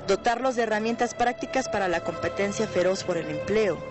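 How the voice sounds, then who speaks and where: A woman reporter reading a serious, measured news voice-over. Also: mid-range voice, music in the background. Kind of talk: scripted news narration.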